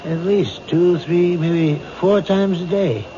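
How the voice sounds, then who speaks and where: Bitter, grieving male widower, lightish high voice, halting, radio drama, hissy muffled recording. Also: steady tone, mellow. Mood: pondering. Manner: slow, halting, reluctant. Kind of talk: 1970s radio drama acting. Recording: noisy, dull old radio recording.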